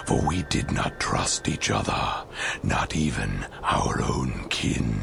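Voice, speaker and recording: A man voicing an ancient, regal ghostly hero in a video game, with a breathy rasp and solemn, theatrical pronouncements. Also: a bass voice, a bit bright, slight background noise, exaggerated voice. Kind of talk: video game cutscene acting.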